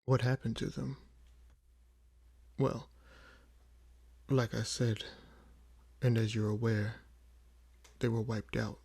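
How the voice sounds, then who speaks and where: A man playing a weary, proud old vampire in an audio roleplay, speaking in a measured, brooding way with frequent pauses. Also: medium pitch, flat delivery, vocal fry, a little mellow, breathy edge, heavily acted. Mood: grave, matter-of-fact. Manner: measured, brooding, pausing often. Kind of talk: slow dramatic audio roleplay monologue.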